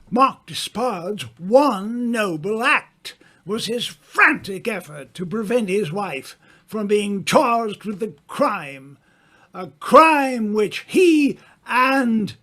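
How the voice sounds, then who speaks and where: A man acting in an old-time radio mystery as a suave, smug gentleman sleuth, with a theatrical, accusing delivery. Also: high voice, heavily acted.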